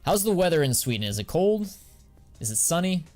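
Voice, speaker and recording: A young American man chatting casually on a live stream, answering viewers in a relaxed, slangy way. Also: fairly high pitch, fairly quick, faint music behind. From United States. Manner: slangy and laid-back, drawls filler words.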